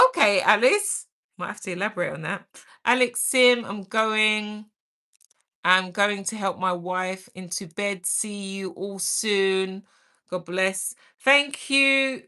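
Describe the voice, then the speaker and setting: A woman's voice: mid-range voice, bright, forceful tone, clean tone. A middle-aged woman with a British accent, hosting a livestream and chattily reading and answering viewer comments aloud. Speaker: livestream host. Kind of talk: reading and answering live chat.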